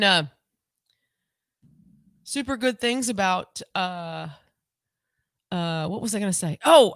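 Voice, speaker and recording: Woman, casual co-host, rambly and repetitive, mid pitch, dry desk-mic sound. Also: fairly bright tone, dry recording. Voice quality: voice lower than usual today. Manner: rambling, chatty, repeats herself. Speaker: podcast co-host. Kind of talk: casual livestream chat. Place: home.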